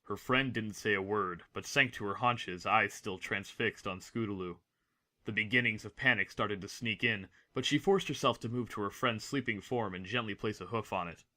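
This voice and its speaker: A man's voice: lowish voice, moderate pace, bright, forceful tone. A man reading scripted, dramatic story narration as the narrator of an audio drama.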